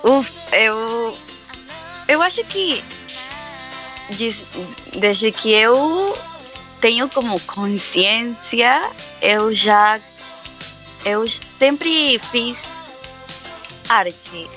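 Bright, slow-talking young woman. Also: on the high side, animated, soft background music. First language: Spanish. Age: young adult. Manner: hesitant, halting, often restarting words and laughing. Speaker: musician guest. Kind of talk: live interview.

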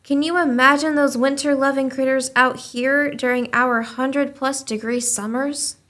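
Woman playing an earnest, high-voiced newspaper reporter. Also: steady tone, normal speed, a bit bright. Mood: wry, wistful. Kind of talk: radio play acting.

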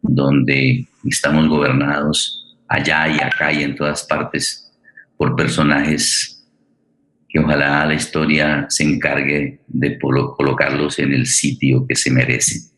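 Very deep-voiced, halting male radio host. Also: monotone, fairly bright tone, rough recording. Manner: halting, wordy, formal and courteous. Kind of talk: unscripted, welcoming introduction of a guest and play.

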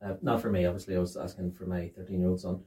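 A man in his late thirties chatting in a relaxed, reflective way, swearing casually. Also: sits low, racing. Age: late 30s. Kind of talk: casual chat. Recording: roomy, distant, faint hiss.

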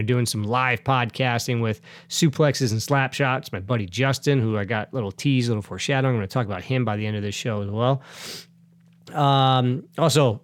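A middle-aged man talks straight into a close podcast mic, with no room sound at all. He rambles in a relaxed, chatty way, often correcting himself mid-sentence. He sounds a bit sniffly as he gets over a cold. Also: mid-range voice, no echo. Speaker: podcast host. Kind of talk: casual solo podcast chat.

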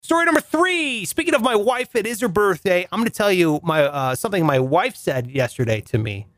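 A young man with a reedy, nasal voice, telling comic stories as a show host in an animated, mock-outraged way. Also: sits high, a little fast, no room sound. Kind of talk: comic monologue.

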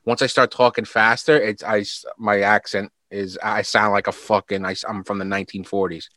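A man's voice: on the low side, little pitch movement, fairly quick, bright, forceful tone, no room sound, clean studio sound. A young man with a New York accent, chatting casually, swearing freely and saying "like" and "bro" a lot. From United States. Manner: laid-back, swears freely, says "like" and "bro" often. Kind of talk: casual chat.

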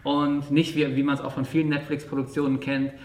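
A man of average pitch talks in a casual discussion about film, recorded in a room with obvious echo. He feels his way through sentences, hesitating and rephrasing as he goes. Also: monotone, fairly quick, a little mellow. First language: German. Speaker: podcast co-host, English teacher. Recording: echoey room sound.

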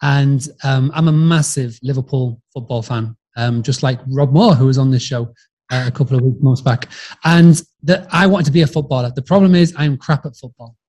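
Yorkshire guy on a podcast, rambly and friendly, keeps restarting his sentences, mid pitch. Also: moderate pace, a little mellow. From England, Yorkshire. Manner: chatty, friendly, frequent restarts and repeated words. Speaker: podcast guest. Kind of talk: casual storytelling interview.

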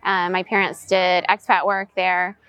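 A woman's voice: lowish voice, level tone, unhurried, clear, resonant tone, lo-fi sound. A woman in her forties who was raised in Venezuela, answering reflectively as an interview guest in a casual conversation. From raised in Venezuela, US-born. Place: outdoors, in person.

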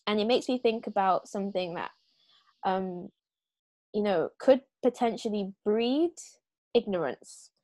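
A woman hosting a casual discussion, thinking aloud with many fillers and pauses. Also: medium pitch, a little mellow, no echo. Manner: hesitant, searching, many fillers. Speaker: podcast host.

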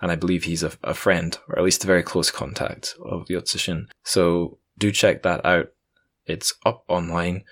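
A man's voice: low-pitched, little pitch movement, moderate pace, a bit bright. A man hosting a podcast in a casual, chatty way with wry asides. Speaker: podcast host.

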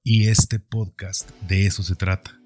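A man in his forties with a deep, low voice speaks as a podcast host over music. He thinks aloud about himself in a conversational way, often rounding off a thought with a small questioning tag. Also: flat delivery, measured pace, a little mellow. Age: 40s. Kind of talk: reflective personal podcast monologue.

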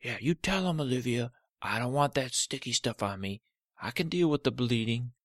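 A man voicing a stubborn male talking toe who complains loudly and insistently. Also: mid-range voice, animated, normal speed, no room sound, studio quality, voice pushed up, natural voice.

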